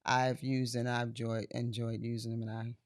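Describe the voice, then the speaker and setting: A woman with a deep voice chats as a guest with her family at home. She speaks hesitantly, pausing often and leaning on fillers, in a dry, close-miked sound. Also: flat delivery, no echo. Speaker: mother of the host, podcast guest. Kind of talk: casual family conversation.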